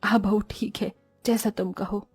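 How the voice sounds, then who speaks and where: A woman voicing a stingy, middle-aged Indian-family mother-in-law who speaks low, soft and weary. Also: fairly even intonation, normal speed, breathy edge, no room sound, faint music behind.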